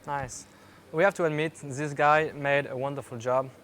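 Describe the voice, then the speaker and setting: A man's voice: a little high, fairly even intonation, normal speed, a bit bright. Man co-presenting live over faint noise. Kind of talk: casual live event hosting.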